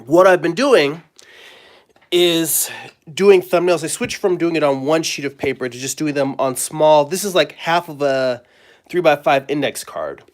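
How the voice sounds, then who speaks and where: A middle-aged man, hoarse and raspy from a cold, clearing his throat as he chats casually with fillers while drawing at his table. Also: on the high side. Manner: rambling, friendly, halting with fillers. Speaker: comic artist and live-stream host. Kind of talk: casual live-stream chat. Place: home studio at a drawing table.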